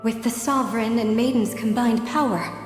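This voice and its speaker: An actress playing a remorseful ancient maiden, speaking a solemn, measured, sorrowful monologue in a video game. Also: female voice, fairly high pitch, close to an everyday voice. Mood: grave resolve. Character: remorseful ancient maiden confessing her doom. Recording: echoing long reverb, music behind.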